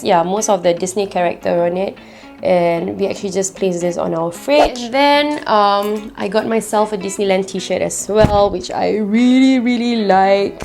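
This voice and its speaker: Husky-voiced young woman chatting casually. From Singapore. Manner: chatty, excitable, rises in pitch when gushing. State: husky, nasal voice while recovering from illness. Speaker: vlogger. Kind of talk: casual haul vlog.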